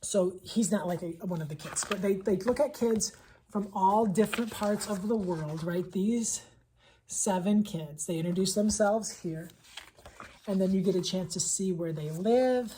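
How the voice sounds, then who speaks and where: A woman with a husky voice talking as an elementary school teacher in a casual vlog, enthusiastic and conversational, leaning on repetition for emphasis. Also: mid-range voice, a little slow, warm tone, a little hiss or hum, low-quality recording. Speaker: elementary school teacher presenting picture books. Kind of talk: casual vlog book recommendations.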